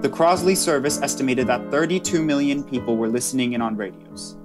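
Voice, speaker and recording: Guy acting as grave radio play narrator, medium pitch, solemn, piano behind him. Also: a little fast, natural voice. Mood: matter-of-fact, grave. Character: grave male storyteller opening a 1930s radio drama. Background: piano music.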